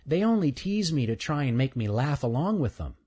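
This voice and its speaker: A man voicing a kind little female squirrel who speaks softly and reassuringly. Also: medium pitch, very animated, fairly quick, slightly rough, voice pushed up. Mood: gentle, encouraging. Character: kind little girl squirrel, gentle and wise.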